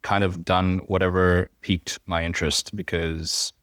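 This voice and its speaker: Podcast guest, male, 30s, mixed Pacific accent, slightly low pitch, dry mic, loose self-deprecating delivery. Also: level tone, moderate pace, a bit bright, no echo. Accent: mixed Fijian, New Zealand, Australian and American. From Fiji, raised in Hawaii. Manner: rambling, self-deprecating, joking asides. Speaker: podcast guest, software engineer. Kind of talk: casual podcast chat.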